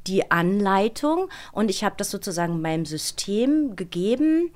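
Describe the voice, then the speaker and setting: A woman's voice: mid-range voice, sing-song, normal speed. Reflective woman, emphatic. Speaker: interview guest, voice actress and dubbing director. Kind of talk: reflective interview conversation.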